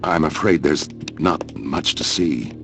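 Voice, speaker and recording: An actor playing a formal, polite police inspector in a video game, speaking in a measured, apologetic way. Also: male voice, rumbling low, music in the background, exaggerated voice. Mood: polite, somber. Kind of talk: video game cut scene acting.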